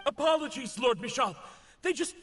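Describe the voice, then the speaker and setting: A man's voice: very high-pitched, expressive, moderate pace, a bit bright, in a large hall. A man playing a panicked, deferential soldier in a video game, frantic and pleading. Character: panicked, deferential male soldier reporting to his lord.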